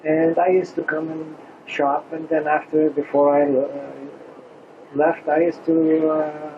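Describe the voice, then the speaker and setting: Halting man in his sixties. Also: on the high side, flat delivery, slight background noise. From Lebanon. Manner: halting, with frequent uh and restarts. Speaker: restaurant owner. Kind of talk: casual interview answers. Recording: slightly dull lo-fi recording.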